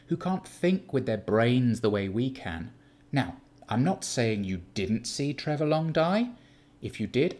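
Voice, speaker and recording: A man's voice: medium pitch, darkish tone, pitched above the performer's own voice, close to an everyday voice. A man voicing a callous, condescending male drug dealer who lectures irritably in a raised voice. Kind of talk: audiobook character voice.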